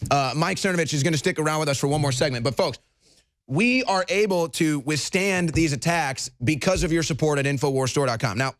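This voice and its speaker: Talk host, male, raspy, pushy ad-read delivery, dry close studio sound. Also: fairly bright tone, no room sound. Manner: pushy, rapid salesman-like pitches. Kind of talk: talk radio hosting with ad reads.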